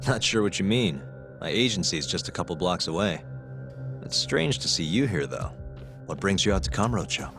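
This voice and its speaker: A man's voice: sits low, expressive, fairly quick, over music. A man playing a cool, wry, determined detective in a video game cutscene.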